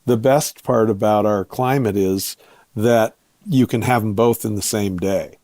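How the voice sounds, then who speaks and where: A man with an American accent giving explanatory interview answers as a guest, in measured, short phrases with pauses. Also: on the low side, warm tone, no echo. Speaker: guest, vineyard owner and viticulturist.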